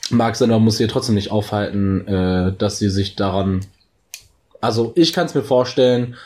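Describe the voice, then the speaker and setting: Man, fairly low voice, conversational co-host who explains and feels his way to the right word. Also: normal speed, a little mellow. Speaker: co-host of a discussion about a TV series. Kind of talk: casual chat, explanatory discussion.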